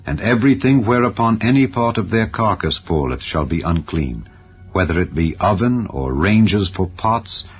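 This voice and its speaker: A man reading scripture aloud in a solemn, measured dramatized recitation. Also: low-pitched. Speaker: Bible reader. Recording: dull audio under soft music. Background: faint background music.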